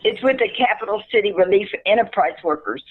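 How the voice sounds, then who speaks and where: A woman's voice: medium pitch, animated, a little fast, breathy edge, phone-quality sound. A woman playing a tired, impersonal city caseworker in an amateur radio play, brusque and dismissive. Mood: weary, dismissive.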